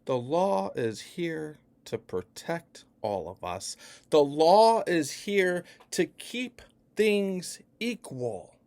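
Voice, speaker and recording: A man from Chicago giving an emphatic, profane opinion rant that swings between hushed and loud. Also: on the high side, big pitch swings, drawn-out words. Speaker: vlogger.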